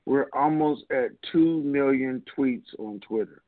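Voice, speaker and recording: A man's voice: mid-range voice, measured pace, dry recording. A man hosting a casual question-and-answer conference call, talking in a blunt, offhand, informal way over a phone line.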